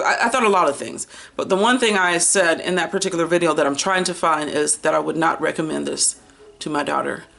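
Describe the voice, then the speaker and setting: An American woman in her early fifties chatting casually about books in an expressive, slangy, playful and rambling way. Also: sits low, vocal fry. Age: early 50s. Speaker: book vlogger. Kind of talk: casual chatty book haul and review.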